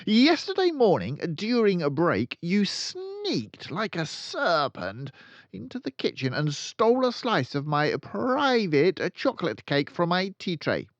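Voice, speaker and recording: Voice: medium pitch, wildly swooping pitch, measured pace, dry recording, dull audio, voice pushed up. A reader voicing a tyrannical giant headmistress who barks and shrieks in a rage, in a storybook reading. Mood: raging. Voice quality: shrieking, barking.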